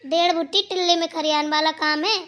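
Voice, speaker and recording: Woman voicing an outspoken, very shrill young daughter-in-law. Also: steady tone, brisk, a bit bright. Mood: moralizing. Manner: talking back, teasing, moralizing. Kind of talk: acted dialogue in Hindi family cartoon.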